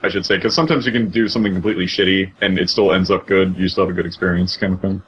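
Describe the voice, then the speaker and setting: A man's voice: low-pitched, fairly even intonation, very fast, breathy edge, dull audio. A man with a blunt, sweary way of talking, chatting casually in a group.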